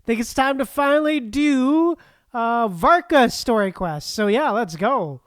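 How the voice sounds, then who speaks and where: A woman's voice: fairly high pitch, animated, takes it slowly, no echo, clean studio sound. A woman giving casual, easygoing, giggly gameplay commentary as a streamer. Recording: game music behind.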